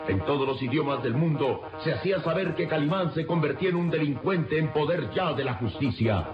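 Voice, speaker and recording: An actor as the grave, dramatic narrator of a radio serial, speaking in a declamatory, portentous manner. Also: male voice, fairly high pitch, lively intonation, a little fast, close to an everyday voice. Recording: dull, echoing radio recording. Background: loud dramatic music.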